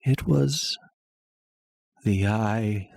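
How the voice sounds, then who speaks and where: A man voicing an obsessed madman who insists he is sane, in a theatrical, halting dramatic horror reading with a breathy, husky voice. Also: fairly low pitch, darkish tone, dry recording, studio quality, exaggerated voice. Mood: obsessive, agitated. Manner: halting, theatrical, with long pauses and sudden swells. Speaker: narrator.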